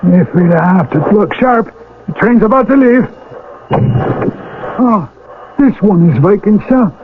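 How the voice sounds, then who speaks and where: An actor playing an eager, fussy English railway guard in a radio drama, busy and obliging. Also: male voice, high-pitched, expressive, measured pace. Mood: obliging, bustling. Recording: dull, noisy old radio drama recording.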